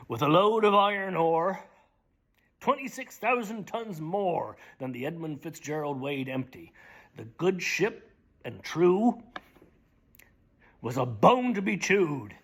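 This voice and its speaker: A middle-aged man giving a slow, theatrical reading of lyrics, often dropping to a hushed whisper. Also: on the high side, sing-song, slightly rough. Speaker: dramatic reader. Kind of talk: dramatic spoken reading of song lyrics.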